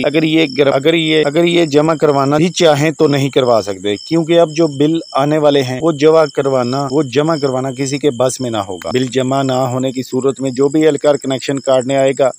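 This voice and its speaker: A man's voice: mid-range voice, a little mellow. A man with a Pakistani accent presenting informal news commentary as a channel host.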